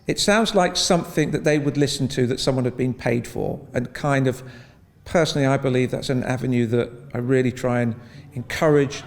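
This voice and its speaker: Creaky man in a reverberant room. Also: medium pitch, a little fast. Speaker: drama educator. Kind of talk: interview reflection.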